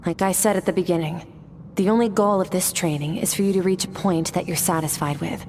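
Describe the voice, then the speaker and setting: A woman's voice: on the low side, a little hiss or hum, close to an everyday voice. A woman playing a stern composed sword master. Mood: calm instructive. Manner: calm, measured, instructive. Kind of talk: game voice acting.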